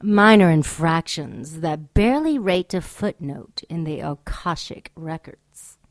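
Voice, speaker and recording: An actress in a radio drama delivering a scripted monologue as a solemn, scornful judge of human morals. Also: female voice, low voice, lively intonation, no room sound. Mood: grave disdain. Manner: solemn, deliberate. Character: grave, scornful narrator judging human morality.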